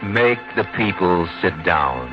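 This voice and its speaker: A serene, holy male teacher with a deep voice. He speaks very slowly and with stately solemnity in old-time radio drama style. Loud music accompanies him on a lo-fi recording. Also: very animated, exaggerated voice. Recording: dull lo-fi radio sound under loud music.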